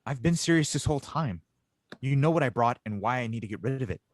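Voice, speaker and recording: A man's voice: medium pitch, animated, quick pace, no echo, natural voice. Man playing an earnest adventurer, dry close sound. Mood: defensive. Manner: serious, guarded. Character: earnest, troubled man on a desperate quest. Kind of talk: fan audio drama table read.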